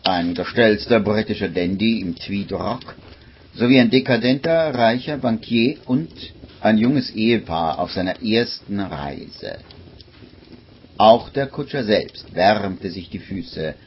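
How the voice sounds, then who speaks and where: A vintage, slightly noisy radio recording. A low-voiced male narrator tells the story in a scripted 1950s manner. His delivery is very slow and measured. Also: animated. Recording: muffled vintage recording, faint noise.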